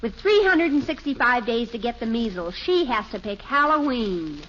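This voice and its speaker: An actress playing a dramatic, sulky American teenage daughter in an old radio sitcom, plaintive and emphatic. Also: female voice, a little high, expressive, moderate pace, fairly bright tone. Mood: disappointed, sulky. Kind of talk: 1950s radio sitcom acting. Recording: dull, noisy old radio broadcast recording.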